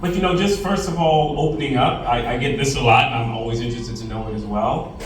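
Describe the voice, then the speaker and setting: A man's voice: mid-range voice, quick pace, a little hiss or hum. A man opening a stage interview with friendly, conversational questions. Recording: distant, heavy echo.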